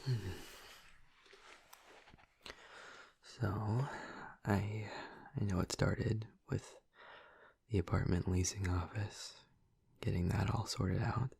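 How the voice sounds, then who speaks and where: A man playing a gentle, awkward, affectionate vampire in an intimate audio roleplay, speaking softly and breathily with hesitant, halting tenderness, close to the mic. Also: fairly low pitch, steady tone, dry recording, exaggerated voice. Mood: gentle, attentive. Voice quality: soft, breathy, husky. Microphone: close, dry microphone.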